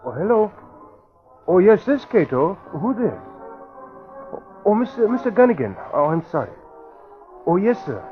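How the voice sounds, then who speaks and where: A polite, deferential valet who talks in clipped broken English. His voice is fairly high and lively in an old radio serial. Music and a dull, muffled broadcast sit behind him. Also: unhurried, pauses often. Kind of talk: 1940s radio acting.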